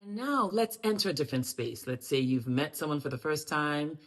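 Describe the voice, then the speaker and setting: A woman teaching greetings in a friendly lesson to camera, repeating phrases slowly for learners to echo and breaking into loud, emphatic bursts. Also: sits low, lively intonation. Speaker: language and culture teacher.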